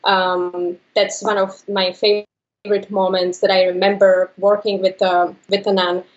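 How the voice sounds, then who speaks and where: A woman's voice: medium pitch, flat delivery, unhurried, muffled recording. Hesitant woman from the Czech Republic. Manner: hesitant, many fillers and restarts. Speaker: photojournalist. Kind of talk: interview answers.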